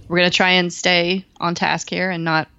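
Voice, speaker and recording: A woman's voice: lowish voice, flat delivery, normal speed, creaky, bright, forceful tone, smooth, no room sound, lo-fi sound. Giggly woman co-hosting a podcast. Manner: giggly, rambling, lots of fillers. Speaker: podcast co-host. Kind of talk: casual podcast chat.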